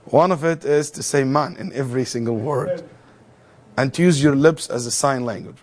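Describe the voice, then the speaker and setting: A man teaching a theology class, lecturing in an animated way, firing rhetorical questions at students and adding joking asides. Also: mid-range voice, moderate pace.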